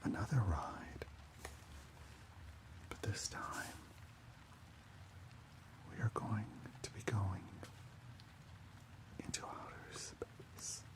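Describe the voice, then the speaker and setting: Man playing a whispering, musing mystical alchemist. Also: lowish voice. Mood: hushed, musing. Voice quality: breathy whisper. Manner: slow, halting, musing. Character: gentle mystical alchemist consulting an old spellbook. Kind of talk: whispered ASMR roleplay.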